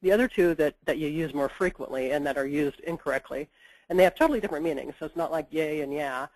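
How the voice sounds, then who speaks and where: A woman's voice: low voice, fast talker, a little mellow, dry recording, rough recording. A woman editor with a head cold, giving practical explanations in a webinar interview and often saying "you know". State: stuffed up with a cold. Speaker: book editor.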